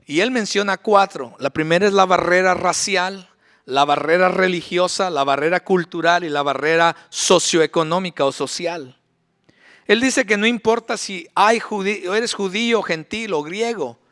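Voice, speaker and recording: A man's voice: high voice, normal speed, a bit bright. A middle-aged male pastor preaching a sermon in a church, emphatic, with rhetorical questions. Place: church sanctuary.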